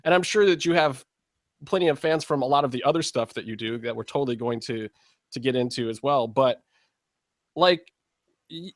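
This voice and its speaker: A man's voice: mid-range voice, normal speed, long pauses, no room sound, clean studio sound. A man hosting a podcast, interviewing in a casual, chatty style.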